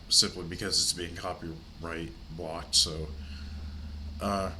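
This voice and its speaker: A man's voice: sits low, pushed and bright, slight background noise. An older man with a stuffy, congested nose, hosting his movie channel from home in a rambling, chatty way. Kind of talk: casual vlog chat.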